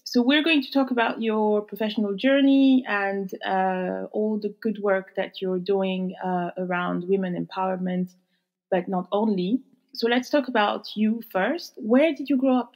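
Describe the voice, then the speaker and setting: A woman hosting an interview podcast, presenting in a measured, clear way. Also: mid-range voice, muffled recording. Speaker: podcast host.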